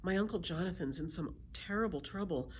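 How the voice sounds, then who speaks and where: A woman's voice: fairly low pitch, fairly quick, a little hiss or hum, natural voice. A woman voicing a timid, earnest young boy in a storybook, in a pleading, urgent manner. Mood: anxious pleading.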